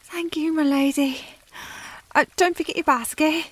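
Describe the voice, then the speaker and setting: A woman's voice: high voice, fairly even intonation, unhurried. A woman playing an earnest, deferential young shop girl in a historical audio roleplay, polite and eager to please.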